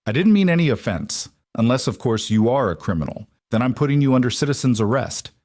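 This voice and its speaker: A man's voice: mid-range voice, animated, a little fast, a little mellow, no echo, studio quality, voice pushed up, lightly acted. A man voicing a goofy, chatty male office neighbor who rambles and cracks jokes. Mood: jokey.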